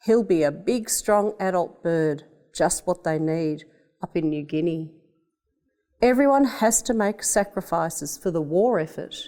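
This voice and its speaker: A woman's voice: lowish voice, animated, a little slow, warm tone. An Australian woman voicing a firm, practical wartime father in a children's storybook, speaking matter-of-factly.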